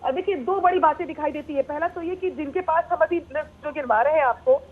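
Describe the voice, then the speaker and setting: High-voiced woman reporter on phone line. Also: a little fast. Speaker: news reporter. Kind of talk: live television news report. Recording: tinny narrow phone line.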